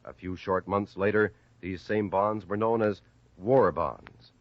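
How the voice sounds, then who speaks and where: A man's voice: low-pitched, steady tone, measured pace, no echo, muffled recording. An American man reading a scripted 1950s radio announcement in a declamatory, emphatic way.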